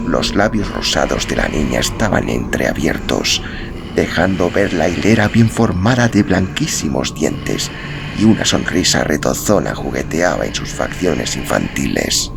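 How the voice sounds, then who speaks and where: Low male voice, gravelly edge, expressive audiobook narration, solemn, music underneath. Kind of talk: dramatic scripted narration of a novel.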